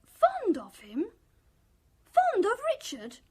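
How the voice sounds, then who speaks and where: An actor playing an excitable, childlike young man with a naive, eager, morbid fascination, in a radio drama. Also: very high voice, slow, heavily acted. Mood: defiant, gleeful. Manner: eager, naive, giggly, repeats questions back.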